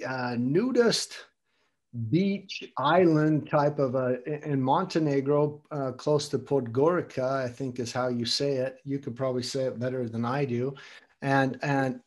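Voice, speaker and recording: A man's voice: medium pitch, darkish tone, muffled recording. An older man hosting an interview, asking long, meandering questions and repeating words as he thinks. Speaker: host. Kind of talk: casual interview.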